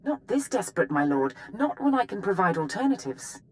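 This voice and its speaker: A woman's voice: mid-range voice, sing-song, fairly quick, close to an everyday voice. A woman playing a proud, uneasy military captain in a video game, speaking formally and emphatically. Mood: reluctant, disgusted. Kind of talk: video game character dialogue.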